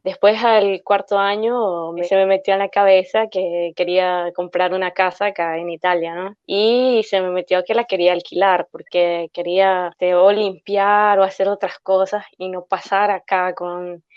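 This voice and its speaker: A woman's voice: mid-range voice, fairly even intonation, moderate pace, few pauses, clear, resonant tone, no room sound, muffled recording. A woman in her thirties with an Ecuadorian Spanish accent, a guest in an interview who speaks hesitantly and uses many fillers. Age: 30s.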